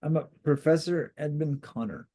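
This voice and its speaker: A man's voice: fairly high pitch, moderate pace, dark tone, natural voice. A man reading the part of a polite, reserved male professor in a novel, courteous and formal.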